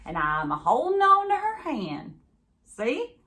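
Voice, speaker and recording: A man's voice: extremely high pitch, wildly swooping pitch, takes it slowly, sharp tone, voice pushed up, full character voice. A woman voicing a stubborn, devoted old grandfather in a folksy, insistent way, as in a storybook read aloud. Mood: defiant. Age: older.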